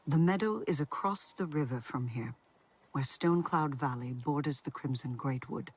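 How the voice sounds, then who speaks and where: A man playing a timid, guilt-ridden alien in a video game, husky and confiding in a hesitant way. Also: fairly high pitch, moderate pace, a little hiss or hum, close to an everyday voice. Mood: worried. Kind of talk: video game cutscene acting.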